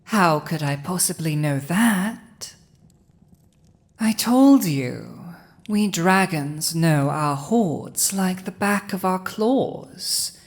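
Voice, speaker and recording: Villainous dragon usurper, played by a woman: slightly low female voice, drawn-out delivery, haughty, gloating, possessive, for fantasy audio roleplay. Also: animated, full character voice. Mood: possessive, proud. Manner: slow, imperious, teasing, with lingering pauses. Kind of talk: dramatic roleplay audio addressed to the listener.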